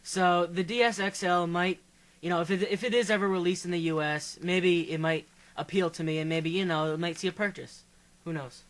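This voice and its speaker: A man's voice: high-pitched, fairly even intonation, fairly quick. A young man with a light voice, rambling casually as a panelist on a gaming discussion show. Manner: rambling, hedging with fillers.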